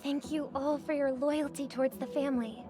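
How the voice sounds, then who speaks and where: An actress voicing a gracious young idol in a video game, speaking politely with composed, encouraging reassurance. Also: female voice, high-pitched, level tone, moderate pace, music in the background, lightly acted. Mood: gracious, reassuring. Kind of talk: scripted video game dialogue.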